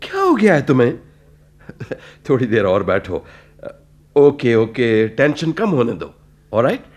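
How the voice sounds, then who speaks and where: Irritable male film star, radio drama, condescending, medium pitch, very lively intonation. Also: slow, full character voice. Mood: puzzled, placating. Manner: exclaiming, condescending, English phrases mixed in. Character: self-centred, irritable male film celebrity. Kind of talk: radio play acting.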